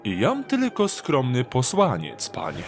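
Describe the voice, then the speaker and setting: A man's voice: medium pitch, wildly swooping pitch, a little slow, over music. Man playing a sly thief, wildly swooping intonation. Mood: falsely courteous. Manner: theatrical, archaic courtesy. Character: sly, smooth-talking male street thief, mock-courteous. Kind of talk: audio drama acting.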